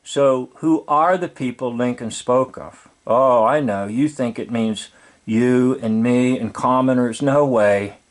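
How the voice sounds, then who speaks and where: A man's voice: medium pitch, slow. A man giving informal, preachy commentary, explaining emphatically and repeating key words. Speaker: video commentator.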